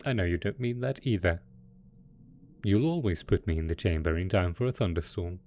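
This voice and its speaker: Man acting out a mad scientist supervillain, low-pitched, theatrical, possessive, coaxing, playfully wounded, audio roleplay, faint noisy ambience. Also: normal speed, warm tone. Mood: amused, teasing. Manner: melodramatic, smug, coaxing. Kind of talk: roleplay ASMR audio drama. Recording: faint background noise.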